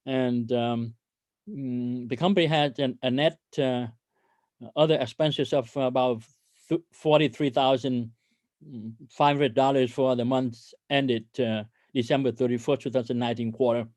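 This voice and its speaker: A man with a mid-pitched voice addresses investors as a company executive. His delivery is halting and hesitant, often stumbling as he goes. The sound is dry, with hardly any room echo. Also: steady tone, a little mellow. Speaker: company CEO hosting an investor conference call. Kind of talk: corporate earnings call presentation.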